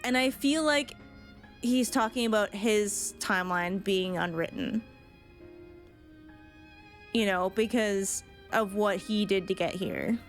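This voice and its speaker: A woman's voice: mid-range voice, slow, long pauses. A woman game streamer giving casual reaction commentary on cutscenes, thinking aloud as she goes. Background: game music.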